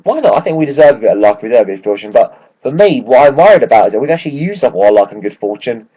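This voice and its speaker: A man, hoarse from shouting at a match, ranting excitedly and swearing freely in a casual football vlog. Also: medium pitch, very fast, muffled recording. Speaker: football fan vlogger.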